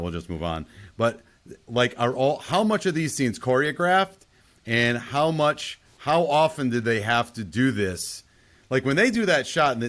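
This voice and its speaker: A man's voice: medium pitch, fairly bright tone. A man hosting a casual film discussion in an inquisitive way, often putting questions to the group. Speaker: podcast host.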